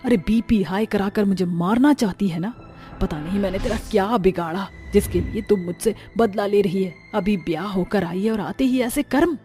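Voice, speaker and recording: A woman voicing a harsh middle-aged mother-in-law who curses and scolds her new daughter-in-law in a slow, drawn-out way, over faint music. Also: mid-range voice, warm tone, natural voice. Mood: scolding. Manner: slow, drawn-out, cursing and accusing.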